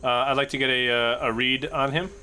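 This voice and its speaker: A man chatting casually as a player in a tabletop game, in a halting, conversational way. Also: medium pitch, fairly even intonation, bright, forceful tone. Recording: clear background noise.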